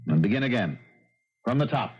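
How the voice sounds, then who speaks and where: An actor playing a bitter, temperamental middle-aged concert pianist and harsh mentor, with a husky voice, in 1970s radio drama style. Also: male voice, medium pitch, lively intonation, brisk, lightly acted. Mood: gruff concern. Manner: theatrical, scathing outbursts and weary refusals. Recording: muffled vintage radio drama recording, music behind.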